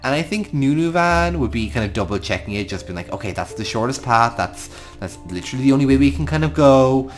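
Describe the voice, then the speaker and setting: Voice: mid-range voice, expressive, quick pace, hardly any pauses, soft background music. An Irish gaming host giving improvised storytelling commentary over a game, talking affectionately to the creatures. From Ireland.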